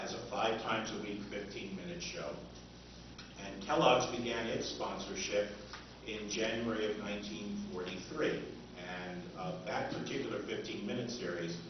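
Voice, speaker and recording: An American man giving an informal seminar talk, with a hesitant, halting delivery. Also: lowish voice. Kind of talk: informal lecture. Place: indoor convention seminar room. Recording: echoey noisy hall, distant, dull.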